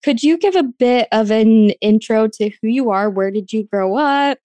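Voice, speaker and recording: A woman's voice: on the high side, moderate pace, dark tone, dry recording. An American woman from rural Arizona hosting a podcast in a casual, gushing, conversational way. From rural Arizona, United States. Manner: enthusiastic, gushing. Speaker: podcast host.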